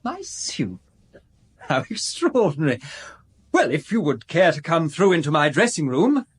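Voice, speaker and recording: An actor playing a fastidious, genteel architect-decorator in his thirties in a radio drama, speaking precisely and with stiff politeness. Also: fairly high pitch, sing-song, husky, dry recording, exaggerated voice. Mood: fussy, obliging. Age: 30s.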